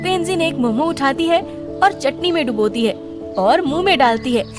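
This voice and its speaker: A woman narrates a scripted cartoon story. Her voice is clearly high in pitch, and music plays noticeably behind her. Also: animated, normal speed.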